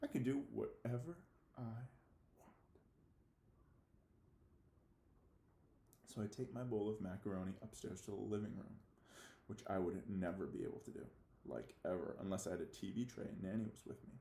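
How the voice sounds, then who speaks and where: A man's voice: on the low side, fairly quick, creaky, warm tone, roomy sound, slight background noise. A man reading a children's novel aloud as an anxious, defiant young boy left home alone, confessional and emphatic. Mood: defiant, pleased. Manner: confessional first-person storytelling with emphatic, childlike phrasing.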